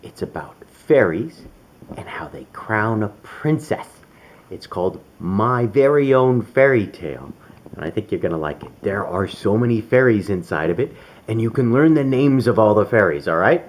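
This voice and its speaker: A man's voice: fairly low pitch, lively intonation, normal speed. A man reading a children's storybook to his young daughter as her father, with exaggerated wonder. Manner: exaggerated wonder, gasps and coos.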